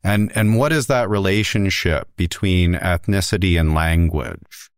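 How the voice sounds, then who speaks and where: A man hosts an academic interview and asks thoughtful, probing questions, stumbling a little at the start of each one. His voice is very low, smooth and resonant. The sound is dry and close. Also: animated, a little slow, dry recording. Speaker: host, interviewer.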